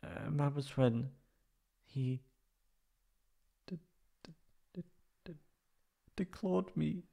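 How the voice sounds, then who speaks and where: A man voicing a wounded, anxious young catboy in an emotional ASMR roleplay, halting and stammering tearfully. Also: a little high, vocal fry, mellow, heavily acted. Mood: tearful, hesitant.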